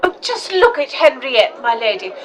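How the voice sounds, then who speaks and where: Woman playing a high, excitable, scandalized lady. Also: normal speed, over a noisy crowd, very noisy. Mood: indignant. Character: indignant, excitable lady sidekick, primly scandalized. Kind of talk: audio drama acting.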